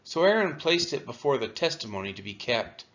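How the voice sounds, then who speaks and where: A man's voice: mid-range voice, very animated, brisk, a bit bright. A man reading scripture aloud expressively, as a reader for a church. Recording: dull, lo-fi sound.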